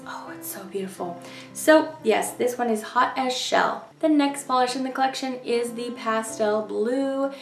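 A young woman with a hoarse voice, gushing in a chatty product review of nail polishes. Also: medium pitch, roomy sound, distant mic. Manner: gushing, chatty, keeps saying "you guys". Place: indoor room in front of polish shelves.

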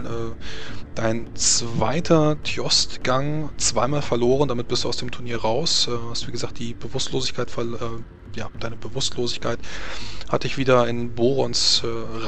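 A man working as game master, narrating a tabletop role-playing game in a conversational, halting improvised way. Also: medium pitch, fairly bright tone.

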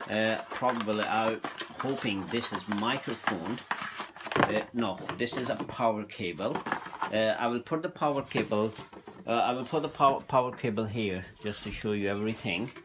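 A man presenting an informal hands-on unboxing in a hesitant, halting way, speaking non-native English in a room at home. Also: on the low side. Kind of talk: informal hands-on product demonstration. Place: small room at home, indoors. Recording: noticeable background noise, handling clicks.

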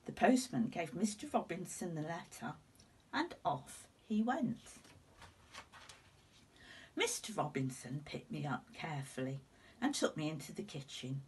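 A woman in her sixties reading a children's storybook aloud in an expressive, deliberate way. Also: low-pitched, slight background noise. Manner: deliberate, animated telling. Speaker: teacher reading a picture book to children. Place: indoors at home, seated before curtains. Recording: slightly distant room microphone.

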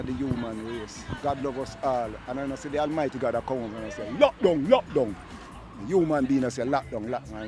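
An older man with a Jamaican accent, talking passionately on a city street into a handheld mic, swinging from low mutters to loud outbursts. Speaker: street interviewee. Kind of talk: impassioned street talk. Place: outdoors on a city street, under a tarp. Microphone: handheld mic held by interviewer.

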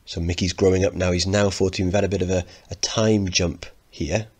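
A man's voice: low-pitched, steady tone, a little fast, husky. A man teaching, narrating a play and explaining it in an instructive way.

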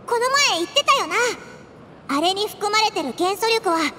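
A woman voicing a tiny, childlike fairy companion in a video game, excitable and blunt, with squeaky informal speech. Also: moderate pace, a little hiss or hum, full character voice. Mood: earnest, worried. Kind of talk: video game character acting.

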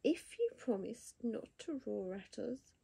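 A woman's voice: a little high, big pitch swings, measured pace, gravelly, warm tone, breathy edge, heavily acted. Clever little monkey, as a woman reads him. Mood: pleading. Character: clever little male monkey making a deal.

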